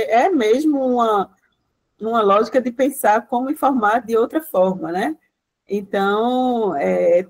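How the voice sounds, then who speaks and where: Female lecturer, slightly high voice, informal and explanatory, checking in with frequent tag questions. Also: rough recording. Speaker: university lecturer. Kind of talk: informal lecture presenting a project.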